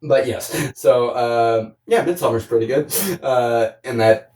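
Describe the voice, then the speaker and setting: Male podcast co-host, average pitch, rambling and sweary casual film chat, roomy sound at a distance from the mic. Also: a little slow, darkish tone. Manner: profane, rambling storytelling with mimicked reactions. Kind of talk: casual chat about films. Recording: roomy, echoey, away from the mic.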